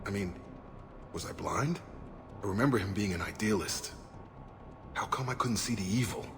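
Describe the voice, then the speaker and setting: A man's voice: lowish voice, moderate pace, noisy background, lightly acted. A man playing a weary, principled soldier in a video game, speaking earnestly and plainly about protecting his people. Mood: troubled. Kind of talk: dramatic video game acting.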